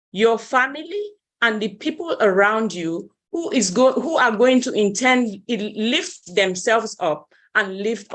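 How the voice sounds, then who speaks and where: A woman's voice: a little high, fairly bright tone. A woman on a video call giving earnest, heartfelt thanks, restarting phrases as she goes. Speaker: meeting participant. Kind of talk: heartfelt thank-you speech.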